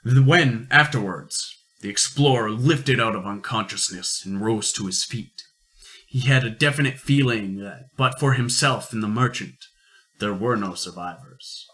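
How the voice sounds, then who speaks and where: Bright-voiced man narrating science fiction. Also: fairly low pitch, measured pace. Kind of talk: scripted narration of sci-fi radio play.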